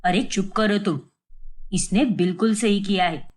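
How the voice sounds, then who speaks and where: A woman voicing an angry, stubborn young father who scolds and shouts back. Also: mid-range voice, measured pace, voice pushed down, natural voice.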